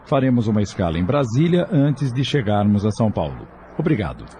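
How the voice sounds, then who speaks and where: An actor playing a courteous airline captain making a formal announcement to passengers in an audio drama. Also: male voice, lowish voice, big pitch swings, brisk, dark tone, a little hiss or hum.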